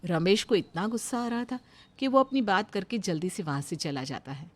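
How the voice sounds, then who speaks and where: A woman's voice: mid-range voice, moderate pace, over music. A woman reading scripted narration for a moral story, telling the events as a storyteller.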